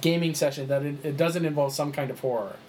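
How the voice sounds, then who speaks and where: Man, game master, chatty, fillers and restarts, fairly high voice, faint hiss. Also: fairly even intonation, fast, a little mellow. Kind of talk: explaining rules in a tabletop game session.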